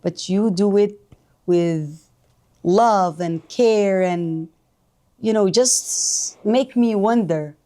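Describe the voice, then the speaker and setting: A woman hosting an interview, speaking slowly and admiringly to her guest. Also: medium pitch, mellow. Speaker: host.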